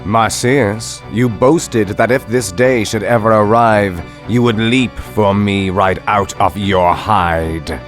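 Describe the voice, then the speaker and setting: A man's voice: lowish voice, fairly even intonation, faint music behind. A man playing a vain, haughty Greek god in a radio drama, speaking pompously and drawing out his words. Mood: coldly triumphant. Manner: pompous, slow, drawn-out delivery. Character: vain, haughty male Greek god, smugly self-admiring. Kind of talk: theatrical radio drama acting.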